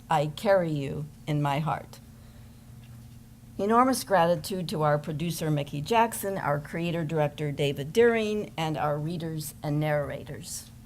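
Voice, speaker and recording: A woman's voice: low voice, a little mellow, a little hiss or hum. A woman reading scripted narration as the narrator of a church readers theater program. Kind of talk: scripted narration to a live gathering.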